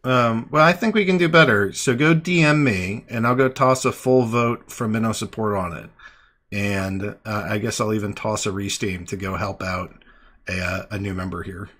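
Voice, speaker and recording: A man in his thirties hosting a live online call-in show, relaxed and chatty, with many fillers and restarts. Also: lowish voice, moderate pace. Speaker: host. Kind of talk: casual live call-in chat.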